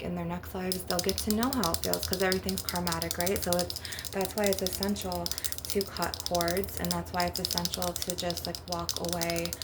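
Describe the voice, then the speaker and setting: Low, creaky-voiced woman rambling over loud tapping. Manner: informal, meandering, frequent "like" and "you know". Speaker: ASMR host and spiritual healer. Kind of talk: rambling casual ASMR monologue. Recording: loud clicking and tapping noise throughout. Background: crystal and glass tapping.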